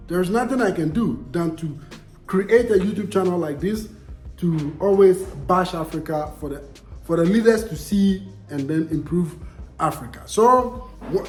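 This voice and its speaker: A man's voice: high-pitched, darkish tone, roomy sound. A young Ghanaian man with a Ghanaian accent, vlogging casually and answering viewer comments in an emphatic way, repeating himself and asking rhetorical questions.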